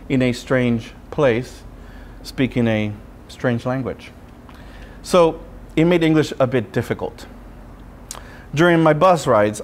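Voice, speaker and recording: Man preaching, noisy recording. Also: medium pitch. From Puerto Rico. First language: Spanish. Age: 30s. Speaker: friar preaching. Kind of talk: sermon with personal storytelling.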